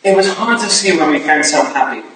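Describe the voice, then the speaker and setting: Guy acting earnest victorian husband defending himself, high voice, period play, far from mic and super echoey. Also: expressive, brisk, fairly bright tone. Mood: earnest, defensive. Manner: earnest, theatrical. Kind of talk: staged reading of a period drama. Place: staged reading in a room, recorded from afar. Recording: distant echoey room, faint noise.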